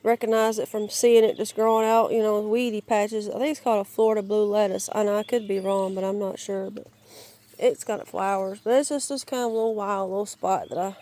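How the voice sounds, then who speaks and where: A woman with a raspy, crackly voice from a lingering cold, giving a halting, rambling tour of her wooded yard, searching for words and restarting often. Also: mid-range voice, steady tone, warm tone. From Georgia, US. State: raspy, crackly voice while getting over a cold. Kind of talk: casual rambling walk-and-talk. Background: birds and outdoor ambience.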